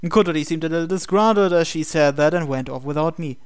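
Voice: medium pitch, fast, smooth, dry recording, clean studio sound. A person reading visual novel text aloud for a let's play, acting out the dialogue expressively. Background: game music.

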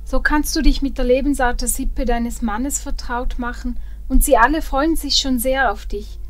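A woman playing a composed, gently persuasive dwarven mother in a fantasy audio drama, speaking in a measured way. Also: sits high, steady tone, faint music behind, close to an everyday voice. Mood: reassuring, persuasive.

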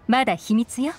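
Cool, slightly high-voiced secret agent. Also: female voice, exaggerated voice. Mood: knowing, teasing. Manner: composed, knowing, slightly teasing. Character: cool, shrewd young woman intelligence agent, secretive and teasing. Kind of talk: anime game voice acting.

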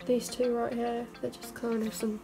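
A young woman, a beauty vlogger, chatting casually through a makeup test in her bedroom; she sounds croaky and stuffed up from a cold and rambles with self-mocking asides. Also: a little high, flat delivery, moderate pace, music in the background. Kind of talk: casual makeup tutorial chat. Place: bedroom, indoors. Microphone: camera mic at arm's length.

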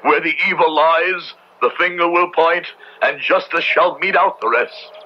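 An actor playing a fanatical, merciless Puritan judge in an old radio drama, declaiming in pompous, archaic preacher's speech. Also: male voice, fairly high pitch, a little slow, close to an everyday voice. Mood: stern, cold. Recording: noisy, dull old radio drama recording.

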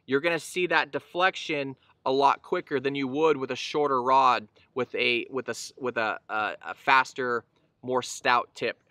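Casting note: thirties male angler-host, bright crisp delivery, casual explainer, recorded outdoors on a boat. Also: mid-range voice, dry recording. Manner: easygoing, explanatory, frequent fillers and restarts. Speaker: fishing channel host. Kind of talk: casual instructional talk to camera.

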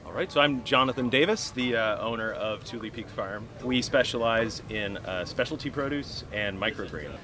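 A man's voice: medium pitch, normal speed, few pauses, a crowd in the background. A man in his thirties who owns a farm, explaining his produce plainly in an outdoor interview. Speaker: farm owner. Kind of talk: interview answers. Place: outdoor market stall.

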